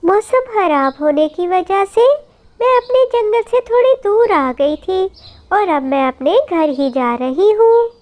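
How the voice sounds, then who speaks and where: A woman's voice: extremely high pitch, lively intonation, slow, darkish tone, heavily acted. A woman voicing a small, clever mother bird in a children's cartoon, earnest and dramatic as she pleads. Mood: nervous, pleading. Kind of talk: Hindi children's cartoon story acting.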